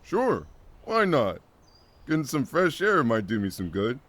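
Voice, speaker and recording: A man's voice: mid-range voice, wildly swooping pitch, a little slow, a bit bright, no room sound, heavily acted. A man voicing a wry, drowsy, laid-back skeleton brother in a cartoon comic dub, with a casual, joking drawl. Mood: relaxed.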